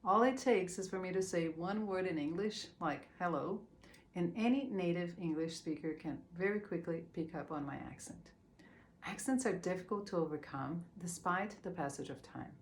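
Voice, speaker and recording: Brazilian-accented woman, lowish voice. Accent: Brazilian Portuguese. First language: Portuguese. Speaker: speaker. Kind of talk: prepared talk.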